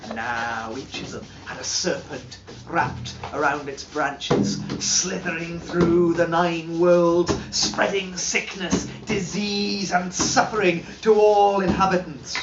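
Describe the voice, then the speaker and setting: Slow, declaiming male storyteller, distant. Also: fairly high pitch, fairly even intonation, loud music behind the voice. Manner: slow, declaiming, swells to loud peaks. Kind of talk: dramatic reading of an old charm. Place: large timber hall, indoors. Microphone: camera filming from across the room. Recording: distant, roomy and dull.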